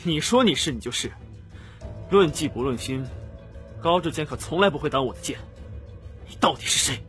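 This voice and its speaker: A man's voice: a little high, expressive, normal speed, fairly bright tone, faint music behind, voice pushed up. A man voicing a suspicious, hot-tempered young swordsman in a fantasy audiobook, curt and commanding. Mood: suspicious, menacing.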